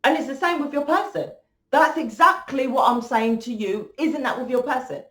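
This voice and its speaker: A woman's voice: high-pitched, moderate pace. Blunt woman, echoey room. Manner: blunt, swears freely, rambling. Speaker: tarot reader. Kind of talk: casual tarot reading monologue.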